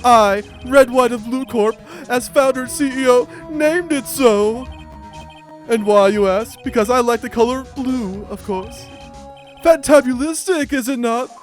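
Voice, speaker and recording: A man's voice: very high-pitched, measured pace, soft background music, pitched above the performer's own voice. A man voicing a smug, entitled corporate villain for a video game, pompous and theatrical with flowery made-up words. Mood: grandiose, self-satisfied. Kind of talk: video game character voice-over.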